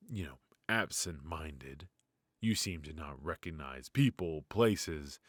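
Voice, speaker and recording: A man playing a weary, ruthless yet fatherly mafia boss in an intimate ASMR roleplay, with a low, husky, breathy voice and a wry, sighing delivery. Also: no echo, clean studio sound. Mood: patient, concerned. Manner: slow, pausing, sighing, wry.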